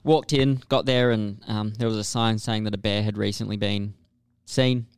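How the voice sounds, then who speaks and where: A man co-hosting a casual podcast, upbeat and chatty, quick to react. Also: fairly low pitch, steady tone, smooth, no echo. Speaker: podcast co-host.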